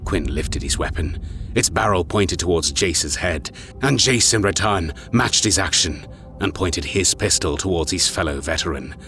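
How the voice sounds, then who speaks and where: A man's voice: deep voice, animated, normal speed, fairly bright tone. A man reading scripted, dramatic narration for an audio story. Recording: music behind the voice.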